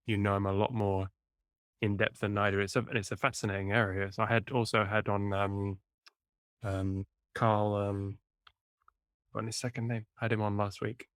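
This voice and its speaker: English guy hosting a podcast, lowish voice, jokey and friendly, dry sound. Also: a bit bright, dry recording. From England, near Cambridge. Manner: friendly, teasing, self-mocking. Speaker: podcast host. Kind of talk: casual interview chat.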